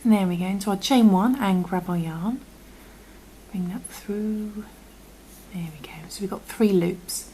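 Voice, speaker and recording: A woman with a croaky voice, patiently talking through a crochet tutorial and often dropping to a half-whisper as she works. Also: medium pitch, unhurried, dark tone, a little hiss or hum, poor audio. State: croaky from illness. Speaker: crochet instructor. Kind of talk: step-by-step tutorial.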